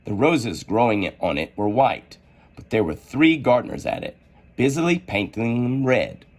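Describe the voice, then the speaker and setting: Animated male storyteller, slightly husky. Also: medium pitch, moderate pace. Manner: animated, theatrical delivery. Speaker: storybook read-aloud narrator. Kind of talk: expressive children's story narration.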